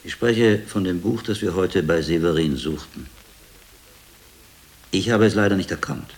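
A man's voice: deep voice, unhurried. A male actor playing a shrewd medieval friar and investigator in a radio drama, speaking with dry, insistent authority. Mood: probing, insistent.

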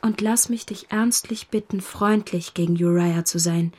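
An actress playing a gentle, devoted young woman with an earnest, composed manner in a radio drama. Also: lowish voice, normal speed, slightly rough. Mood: worried, earnest. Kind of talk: German radio drama dialogue.

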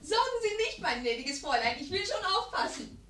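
An actress playing a pert, brisk young lady's maid in a 1950s German radio play. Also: extremely high pitch, very animated, a bit bright, full character voice. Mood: brisk, eager. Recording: roomy, slightly noisy old radio recording.

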